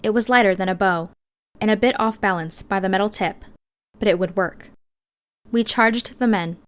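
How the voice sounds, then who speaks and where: A woman's voice: medium pitch, clear, resonant tone. A woman reading scripted first-person fiction as an audiobook narrator.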